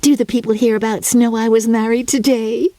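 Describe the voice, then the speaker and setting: A woman's voice: a little high, steady tone, brisk, warm tone, dry recording, voice pushed up, lightly acted. A woman voicing a giddy, boastful young newlywed who gushes in self-satisfied exclamations, in a storybook reading. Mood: gleeful, boastful. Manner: gushing, breathless exclamations, giggly.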